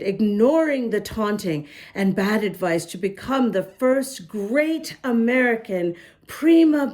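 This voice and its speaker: A woman reading a picture book aloud to young children, gently pausing to talk to them and show the pages. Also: medium pitch. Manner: gentle, slow, talks to young listeners. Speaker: children's storybook reader. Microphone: camera close up, showing the book.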